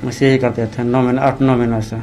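A man flatly explaining himself to questioners, heard on a distant, lightly noisy recording. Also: mid-range voice, a little fast.

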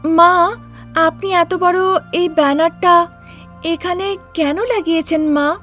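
A young daughter-in-law in a cartoon with a high voice. She sounds confident and eager, yet respectful to her elders. Music plays behind her. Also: fairly even intonation, slow, fairly bright tone, heavily acted. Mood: polite, pleased. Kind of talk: Bengali cartoon voice acting.

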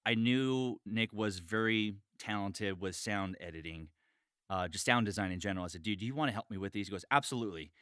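A man's voice: lowish voice, fairly quick, fairly bright tone, clean tone, no echo, clean studio sound. A man chatting casually and emphatically on a podcast, often repeating his words. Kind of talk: casual chat.

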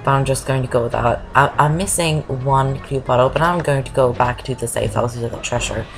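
Deep-voiced woman rambling thru a game walkthrough, game music loud behind. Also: a little fast, gravelly. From Norway. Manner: rambling, keeps saying "I guess". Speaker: gameplay commentator. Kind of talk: casual game walkthrough commentary.

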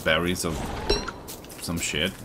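A man's voice: sits low, slow, background noise. A man streaming a co-op game, chatting casually and reading out viewer messages. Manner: relaxed, chatty, reads out viewer chat. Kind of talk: casual gameplay chat. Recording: game music loud behind.

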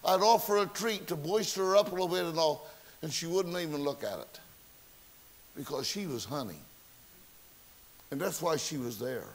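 Folksy man preaching a sermon. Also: fairly high pitch. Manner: folksy storytelling with rhetorical questions, self-mocking. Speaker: pastor. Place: church sanctuary.

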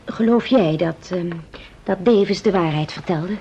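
A woman's voice: lowish voice, moderate pace, gravelly, warm tone, breathy edge. A woman playing an eager, inquisitive detective's wife in a vintage radio play. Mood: curious. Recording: muffled, noisy vintage radio recording.

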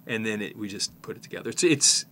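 Man, fifties, lowish pitch, slight head cold, casual tutorial, halting informal delivery, background noise. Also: bright, forceful tone. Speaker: presenter, tape repair technician.

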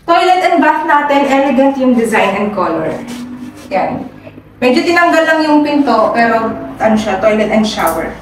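A woman's voice: sits high, very animated, normal speed, far from the mic. A young Filipino woman working as a real estate agent, giving an upbeat, salesy house tour that mixes English and Tagalog. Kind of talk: property tour vlog. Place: inside a show house and outside on a street. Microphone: handheld camera held by the speaker. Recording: echoey rooms, off-mic, street noise.

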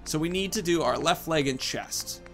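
A man's voice: fairly high pitch, moderate pace. A man in his thirties giving casual let's play commentary, thinking aloud as he explains his choices. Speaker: gaming video host. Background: game music.

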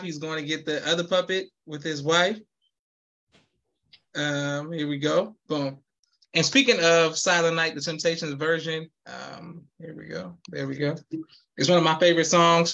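A man's voice: fairly high pitch, creaky, fairly bright tone, highs cut off. A man hosting a podcast over a video call, upbeat and polite, often saying yes sir. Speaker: podcast host. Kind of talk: casual interview. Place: home room with poster wall.

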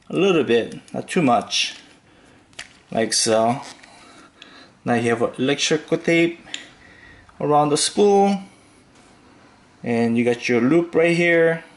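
A man hosting a fishing tutorial, calmly talking through each step with occasional fillers as he ties knots. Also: fairly high pitch. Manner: slow, relaxed instructional talk with fillers. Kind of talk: step-by-step demonstration voice-over.

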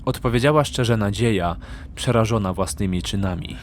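A man's voice: lowish voice, moderate pace, a little hiss or hum. A man narrating a fantasy audiobook in a dramatic, scripted storytelling style.

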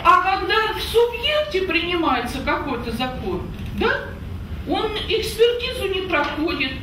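A woman in her fifties speaking up at a public meeting, emphatic and lively, repeating points and asking her listeners if they understand. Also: very high voice, measured pace. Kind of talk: impassioned public remarks. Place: meeting hall with an audience. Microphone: far camera, no microphone. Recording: echoey hall from far off with noise.

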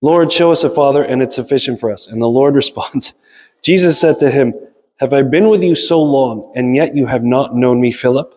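Mellow male preacher in a reverberant hall. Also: medium pitch, moderate pace. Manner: explanatory, frequent checking tags like "right?" and "okay?". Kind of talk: sermon, conversational teaching. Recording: long reverb of a large hall.

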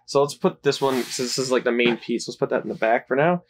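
A man's voice: mid-range voice, quick pace. A man giving casual, rambling commentary while unboxing a toy playset, off camera. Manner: relaxed, rambling, with fillers.

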